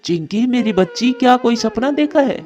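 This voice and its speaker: A woman voicing a doting, protective father bird with a gentle, coaxing manner in a cartoon story. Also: a little high, expressive, moderate pace, muffled recording, natural voice. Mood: concerned. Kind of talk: Hindi cartoon story acting. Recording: music behind the voice.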